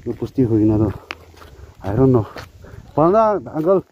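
Inquisitive man chatting over loud outdoor noise. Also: mid-range voice, very animated, normal speed. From Nagaland, India. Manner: inquisitive, frequent acknowledgements. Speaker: travel vlogger interviewing villagers. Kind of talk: casual chat. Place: outdoors on a village road. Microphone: handheld camera, behind it. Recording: dull outdoor camera audio, loud ambient noise.

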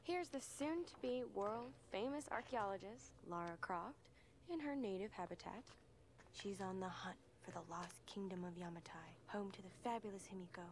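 A woman's voice: medium pitch, moderate pace, a little hiss or hum, close to an everyday voice. An actress playing a lighthearted, supportive young woman in a naturalistic video game cutscene. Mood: playful.

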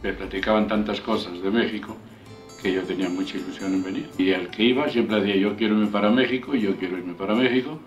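A man's voice: fairly low pitch, fairly even intonation, fast, soft background music. An older man from León, Spain, giving a documentary interview at home, hesitant and repeating words as he recalls things. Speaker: interviewee, emigrant. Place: home living room. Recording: distant, roomy, dull documentary sound.

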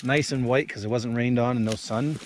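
A man's voice: medium pitch, fairly even intonation, normal speed. Guy vlogging, talking casually into a handheld camera, pretty noisy audio. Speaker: vlogger filming a foraging trip. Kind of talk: casual chat while filming. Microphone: handheld action camera.

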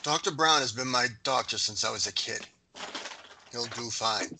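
A man's voice: medium pitch, moderate pace, noisy background, natural voice. A man playing a proud, restrained, family-minded young boyfriend in a screenplay read. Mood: reassuring. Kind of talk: acted dialogue in a screenplay table read. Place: indoors on a group video call.

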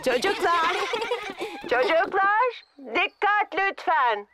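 A woman's voice: very high-pitched, very animated, slow pace, very noisy, full character voice. A woman voicing a kindly grown-up female animal in a cartoon, speaking expressively to small children. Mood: calling out.

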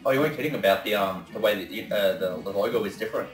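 A young man hosting a casual reaction commentary, talking haltingly and swearing now and then. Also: on the low side, recorded from a distance. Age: young adult. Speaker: reaction video host. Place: small indoor room with shelves. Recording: echoey room, off-mic, music behind.

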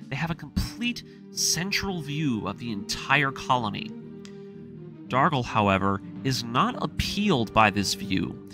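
A man reading a scripted story recap as the narrator of a series. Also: on the high side. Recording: voice-over with music underneath. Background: music.

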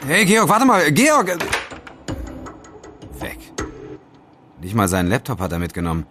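A man's voice: mid-range voice, wildly swooping pitch, measured pace, music in the background. An actor playing a sceptical, teasing young male student sidekick in an audio drama, casual and wry. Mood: baffled. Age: young adult. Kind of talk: German audio drama acting.

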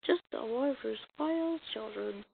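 A woman reading a comic dub as an amateur voice actor, acting out the dialogue in a halting, stumbling way on a muffled, noisy recording. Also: a little high, expressive, vocal fry. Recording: muffled lo-fi recording with noise and clicks.

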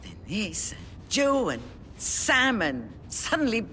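A woman's voice: medium pitch, very animated, takes it slowly, sharp tone, full character voice. A woman playing a bitter, distraught and fiercely loyal housemate in a zombie game, dramatic and defensive in manner. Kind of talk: video game voice acting. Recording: long reverb, faint ambient noise.

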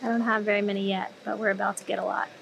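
A woman's voice: medium pitch, level tone, very fast, vocal fry, fairly bright tone, distant mic, slight background noise, rough recording. A woman in her thirties, a travel vlogger, chatting casually and agreeing often with her partner. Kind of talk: casual chat. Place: RV interior.

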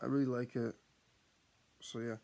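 A man's voice: medium pitch, little pitch movement, normal speed, creaky, a little mellow. A young man talking casually to his viewers, rambling with lots of fillers and restarts. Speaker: vlogger. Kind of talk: casual vlog chat.

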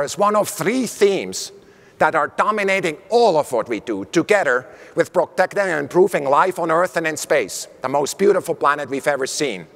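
A middle-aged man with a Swiss German accent, giving an animated keynote talk on a conference stage with a lapel mic. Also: fairly high pitch, vocal fry. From Switzerland. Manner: animated, gesturing while speaking on stage. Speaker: keynote speaker. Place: large conference hall stage. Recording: long hall reverb.

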